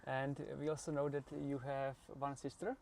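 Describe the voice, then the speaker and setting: A woman co-hosting a podcast interview, asking careful, halting questions. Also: extremely low pitch, flat delivery, crowd noise. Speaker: podcast co-host.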